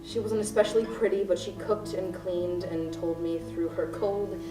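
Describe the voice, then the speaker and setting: A woman in a student radio play acting a desperate, unhinged hostage-taker, melodramatic, moving between hushed recollection and frantic cries. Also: on the low side, steady tone, normal speed, close to an everyday voice. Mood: haunted, brooding. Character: unhinged, desperate female hostage-taker confessing her crimes. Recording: roomy, distant recording with music behind.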